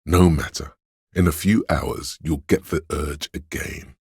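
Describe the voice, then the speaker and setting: A man's voice: steady tone, a little slow, a little mellow, breathy edge, no echo, studio quality, full character voice. A man playing a seductive, indulgent inner voice of craving in a video game, narrating in the second person with a theatrical, coaxing, savoring delivery. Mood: sly, gleeful. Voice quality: very deep, resonant.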